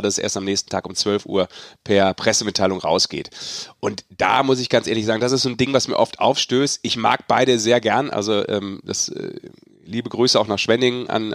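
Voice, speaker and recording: Low-voiced man in earnest sports podcast chat. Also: no echo, clean studio sound. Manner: earnest, opinionated. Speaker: podcast host and sports reporter.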